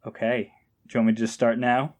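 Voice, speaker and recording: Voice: mid-range voice, level tone, fast talker. An easygoing podcast guest chatting casually in a hesitant, self-deprecating way. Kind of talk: casual chat.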